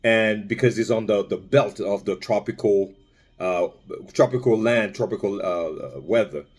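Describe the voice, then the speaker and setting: A man from Congo talks through his thoughts in a loose, rambling way, restarting phrases and filling pauses. His voice sits fairly low. He speaks casually, as if chatting to his viewers. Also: a little mellow. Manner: rambling, many restarts and fillers. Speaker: vlogger. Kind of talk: casual spoken commentary.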